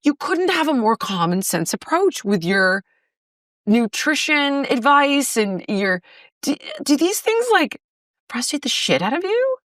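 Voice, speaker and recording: A woman's voice: high-pitched, unhurried, no room sound, studio quality, far from the mic. A woman hosting an interview podcast, chatting casually and animatedly, cursing freely now and then. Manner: animated, lively, swears casually. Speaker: podcast host.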